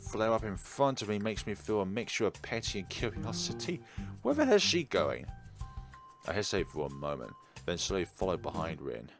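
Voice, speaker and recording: A man with a fairly low voice reads visual novel narration as a let's play host. He slips in argumentative, teasing asides, with music playing behind him. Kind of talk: reading game narration aloud with ad-libbed commentary.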